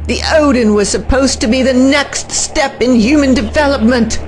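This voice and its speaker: An actor playing a defiant male scientist who turns on his master, bitter and slow-spoken. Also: very high-pitched, background noise.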